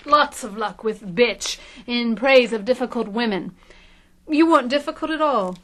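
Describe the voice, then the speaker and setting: An actress playing a polite, gushing book-show interviewer in a satirical audio drama. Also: female voice, fairly high pitch, normal speed, fairly bright tone, natural voice.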